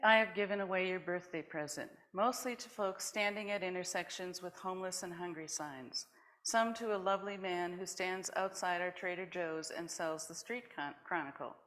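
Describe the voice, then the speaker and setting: A woman's voice: lowish voice, moderate pace, a bit bright. Woman reading short stories to a congregation. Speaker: worship service presenter. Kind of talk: reading vignettes aloud to a congregation.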